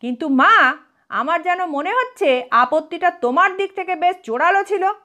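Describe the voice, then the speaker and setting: A woman's voice: very high-pitched, expressive, moderate pace, bright, forceful tone, pitched above the performer's own voice, heavily acted. A woman voicing a wry, reluctant young male college student in an audiobook, argumentative and dryly teasing. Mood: protesting. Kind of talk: audiobook character voice.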